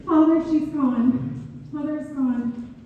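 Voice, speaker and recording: A woman playing a grieving, devoted daughter in a staged historical play, speaking earnestly and deliberately. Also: high-pitched, fairly even intonation, phone-quality sound, slight background noise. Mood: grief-stricken. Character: grieving devoted daughter recounting her mother's passing. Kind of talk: dramatic read-through of a historical play. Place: community theater hall. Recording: thin sound, echoey hall from far off.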